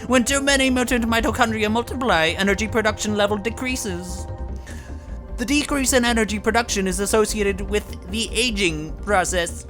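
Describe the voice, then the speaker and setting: A woman in a fan dub voicing an obsessive, condescending, sinister professor with a deliberate, theatrical way of lecturing. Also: mid-range voice, fairly bright tone, faint music behind, lightly acted. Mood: condescending menace. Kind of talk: fan dub voice acting of a video game scene.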